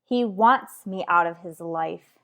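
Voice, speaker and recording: A woman's voice: on the low side, takes it slowly, vocal fry, fairly bright tone, full character voice. A woman playing a headstrong married mother in a radio soap, lecturing her rival bluntly and emphatically. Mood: firm, exasperated. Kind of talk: radio soap opera acting.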